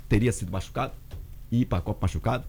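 Quick, distant radio talk show host. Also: male voice, fairly low pitch, darkish tone. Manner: quick, hands the word to panelists.

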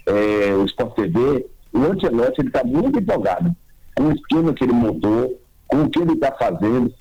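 Slow, hesitant Brazilian radio panelist. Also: male voice, medium pitch, warm tone, slightly rough, lo-fi sound. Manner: slow, hesitant, many fillers. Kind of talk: radio sports talk.

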